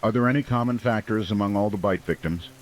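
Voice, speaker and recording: An actor playing a small-town late-night radio host, measured, serious and a little skeptical. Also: male voice, on the low side, lightly acted, low-quality recording. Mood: doubtful. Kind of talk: radio drama call-in hosting.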